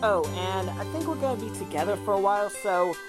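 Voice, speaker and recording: A woman playing a brisk, teasing animal mentor lawyer in an amateur audio drama, with a dry manner. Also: medium pitch, animated, over music, close to an everyday voice. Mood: friendly, teasing.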